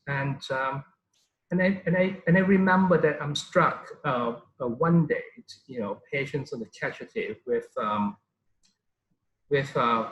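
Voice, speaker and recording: A man's voice: medium pitch, roomy sound, poor audio. A middle-aged man from Thailand, a neurologist answering interview questions with frequent "you know" fillers and restarts.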